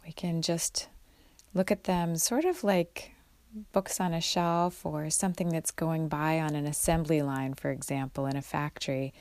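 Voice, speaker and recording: Casting: female meditation guide, low register, gravelly fry at the edges, gentle unhurried delivery, close dry sound. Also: steady tone, no echo. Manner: gentle, unhurried, with long pauses. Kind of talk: guided mindfulness meditation, instructional.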